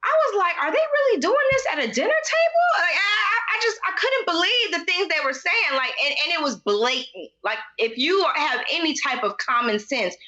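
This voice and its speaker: Female podcast co-host, bright forceful voice with lively swings, slangy and emphatic, slightly lo-fi recording. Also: very high-pitched, moderate pace, few pauses. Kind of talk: casual TV recap chat.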